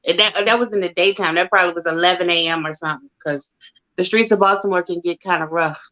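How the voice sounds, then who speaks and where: An emphatic woman co-hosting a casual recap chat. She is opinionated and quick to laugh, talking in a loose, conversational way. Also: fairly low pitch, fairly even intonation, pushed and bright. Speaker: podcast co-host.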